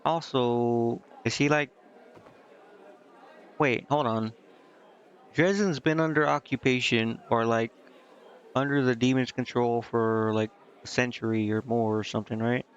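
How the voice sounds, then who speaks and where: A man giving casual game commentary as a streamer, rambling and thinking out loud. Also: medium pitch, steady tone, a little slow, long pauses, some chatter behind. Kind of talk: casual gameplay commentary, reading game dialogue aloud. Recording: muffled mic with clear background noise.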